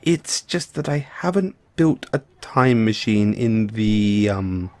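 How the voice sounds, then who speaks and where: A man playing a nervous, geeky mad scientist boyfriend in an audio roleplay, explaining things haltingly to his partner. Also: fairly low pitch, animated, a little mellow. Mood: sheepish, awkward. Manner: hesitant, stammering, explaining at length. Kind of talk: audio roleplay acting, addressing the listener as partner.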